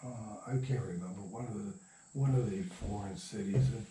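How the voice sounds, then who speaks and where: An older man with a mellow voice tells stories casually as an interview guest. He rambles and halts, picking his way through each anecdote. The sound is roomy and echoey, with him some distance from the microphone. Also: lowish voice, slight background noise. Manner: rambling, halting, chuckling raconteur. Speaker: interview guest, radio producer and sound recordist. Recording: roomy echo, distant from mic.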